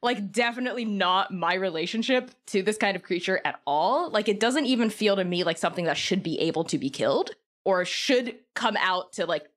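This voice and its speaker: A woman talking casually and enthusiastically as a podcast guest and game master, informal and animated. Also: mid-range voice, fairly quick.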